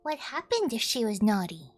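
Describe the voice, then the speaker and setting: A woman's voice: on the high side, sing-song, moderate pace, faint music behind. A woman voicing an innocent, easily frightened little girl from a visual novel, with childlike, pleading questions. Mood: curious. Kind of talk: character read-aloud of a visual novel.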